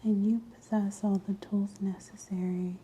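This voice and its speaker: A woman doing soft-spoken, mostly whispered ASMR as a practitioner, breathy, gentle and soothing in an unhurried way. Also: medium pitch, little pitch movement, a little hiss or hum, poor audio. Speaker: ASMR host performing a scalp exam roleplay.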